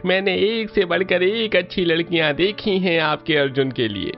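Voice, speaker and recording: A man playing a genial older priest and matchmaker in a cartoon, coaxing and flattering. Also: sits high, moderate pace. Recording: muffled audio over music.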